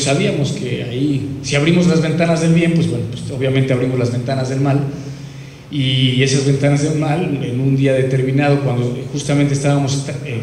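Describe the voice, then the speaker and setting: A man's voice: medium pitch, darkish tone. A man in his thirties with a Mexican accent, talking informally at a press conference. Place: large indoor room. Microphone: handheld microphone. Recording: very echoey room, faint noise.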